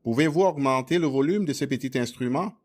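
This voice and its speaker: A man interpreting a sermon as a voice-over. He speaks in a measured, plain way. His pitch sits in a middle range. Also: noisy background.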